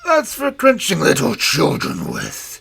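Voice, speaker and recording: A woman's voice: low voice, huge pitch swings, measured pace, creaky, a bit bright, a little hiss or hum, full character voice. A woman voicing a sly, scheming male wolf who talks in a coaxing, falsely sweet way. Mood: menacing.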